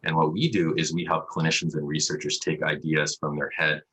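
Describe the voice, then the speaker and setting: Very deep, husky-voiced man, bright edge. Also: level tone, brisk. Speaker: emergency radiologist, interview guest. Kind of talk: casual interview answers. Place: virtual interview over video call. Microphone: video call microphone.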